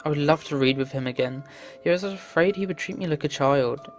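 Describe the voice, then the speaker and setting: A man's voice: on the high side, fairly quick. A man reading visual novel text aloud in an earnest, theatrical way as a game playthrough host. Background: game music.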